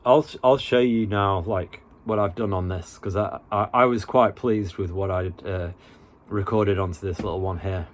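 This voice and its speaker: A man presents a relaxed, informal tutorial in a fairly low voice. He talks colloquially and haltingly, feeling out his explanation as he demonstrates. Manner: laid-back, halting, colloquial. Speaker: tutorial host.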